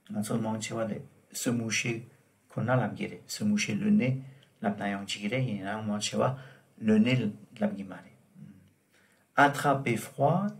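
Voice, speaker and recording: Slow gravelly male language teacher. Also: fairly low pitch. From Tibet. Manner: slow and deliberate, repeating each word and example. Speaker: French teacher. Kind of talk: vocabulary lesson explained in Tibetan.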